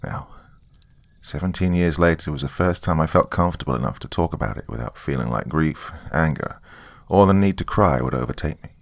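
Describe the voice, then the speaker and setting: Guy narrating a creepy first person story, deep voice w lots of fry, subdued, super dry recording. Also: lively intonation, a little fast, dry recording.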